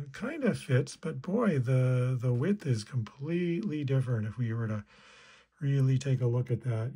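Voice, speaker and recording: A man's voice: mid-range voice, mellow, slightly rough. A middle-aged man giving casual hands-on tutorial commentary, thinking aloud in a tentative way. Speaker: repair video maker.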